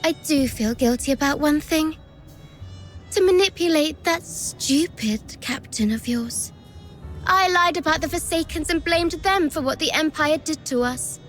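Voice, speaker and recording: A woman voicing a treacherous young villainess who taunts sarcastically and gleefully at a slow, theatrical pace. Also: high voice, fairly bright tone. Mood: mocking. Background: faint music.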